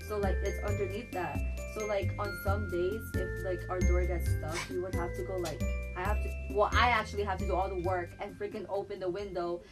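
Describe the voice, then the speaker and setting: A woman's voice: mid-range voice, flat delivery, a little fast, few pauses, loud music behind the voice. A young woman from the Philippines, chatting casually as a podcast co-host and telling stories with slang and lots of fillers. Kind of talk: casual chat.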